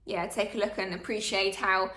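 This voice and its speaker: Chatty girl in her 20s, bright tense voice, echoey room. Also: medium pitch, steady tone, moderate pace. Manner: chatty, many fillers. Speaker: vlogger. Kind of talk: casual Q&A chat.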